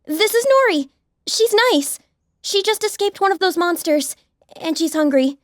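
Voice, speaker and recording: An eager young college woman, in an audiobook drama. Her voice sits high, with a bubbly, chatty, earnest manner and a close, dry sound. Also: expressive, a little slow, no echo. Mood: friendly, eager. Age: young adult.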